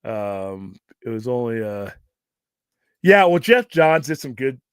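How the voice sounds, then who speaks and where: A gruff American man hosts a casual question-and-answer livestream, recorded dry and close. He rambles off the cuff in a medium-pitched voice, with casual swearing mixed in. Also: sing-song, slow, dry recording. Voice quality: gruff, self-described Cookie Monster voice. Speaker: host of a pop culture livestream show.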